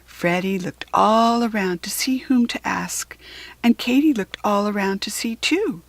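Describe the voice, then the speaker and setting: A woman reading a children's story aloud in an expressive, dramatic narration with deliberate phrasing. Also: medium pitch, vocal fry, no echo.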